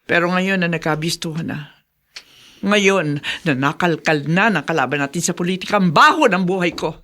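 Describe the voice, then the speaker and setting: A woman's voice: on the low side, moderate pace, fairly bright tone, natural voice. A woman playing an anguished, resolute mother in a Filipino radio drama, speaking earnestly and with emotion. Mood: anguished, confessing. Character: anguished, resolute mother, a mayor's wife confessing her past.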